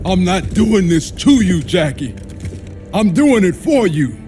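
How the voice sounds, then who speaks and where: A man's voice: sits high, big pitch swings, takes it slowly, warm tone, full character voice. A man playing a tough, protective middle-aged soldier father in a video game, blunt, earnest and anguished. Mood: earnest, resolute. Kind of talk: dramatic video game acting. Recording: music behind the voice.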